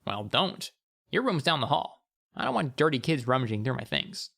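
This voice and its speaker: A man's voice: medium pitch, very animated, moderate pace, vocal fry, a bit bright, exaggerated voice. Man voicing a glib, cocky male drifter. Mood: teasing. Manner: glib, playful, teasing. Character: breezy, cocky, teasing young male traveler.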